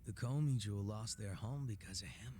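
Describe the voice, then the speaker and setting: A man's voice: fairly low pitch, brisk, darkish tone, a little hiss or hum, close to an everyday voice. An actor playing a wry, laid-back but dangerous male gang leader in a video game dub, with a casual, teasing drawl. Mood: calm, casual.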